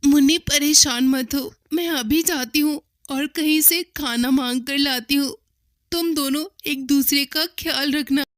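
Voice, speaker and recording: A woman plays a caring elder sister, still a young girl, in a cartoon tale. Her voice is high and girlish, recorded dry, with an earnest, imploring manner. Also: fairly even intonation, measured pace, no room sound. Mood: reassuring. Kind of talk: Hindi cartoon story dialogue.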